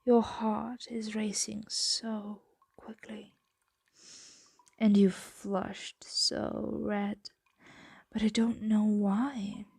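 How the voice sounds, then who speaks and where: A woman playing a possessive, tender dragon in an ASMR roleplay, speaking softly and intimately, often in a breathy whisper, close to the microphone. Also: medium pitch, level tone, no echo, exaggerated voice. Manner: slow, intimate, coaxing. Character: possessive, tender dragon hoarding a human pet.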